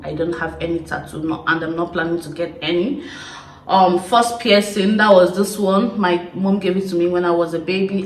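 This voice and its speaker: Young Nigerian woman, Igbo accent, chatty confessional vlog, echoey room, faint background hum. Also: fairly low pitch. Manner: chatty, expressive, laughing storyteller. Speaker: YouTuber. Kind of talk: casual confessional chat to camera.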